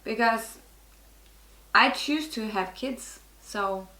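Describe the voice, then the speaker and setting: A woman's voice: a little high, slow, pushed and bright. Norwegian woman with an echoey sound. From Norway. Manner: frank, restarts often, laughs at herself. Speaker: guest, network marketer. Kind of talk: casual interview chat.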